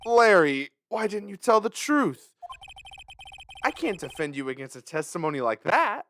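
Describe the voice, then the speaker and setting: A man's voice: sits high, big pitch swings, moderate pace, fairly bright tone, a little hiss or hum, pitched above the performer's own voice, full character voice. A man voicing an earnest, flustered rookie defense lawyer from a video game, exclamatory and prone to stammering. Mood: exasperated. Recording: music behind the voice.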